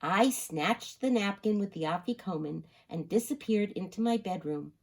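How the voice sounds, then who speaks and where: A woman's voice: medium pitch, expressive, normal speed, a little mellow. A woman reading a children's story aloud, expressively, sometimes turning to address her listeners.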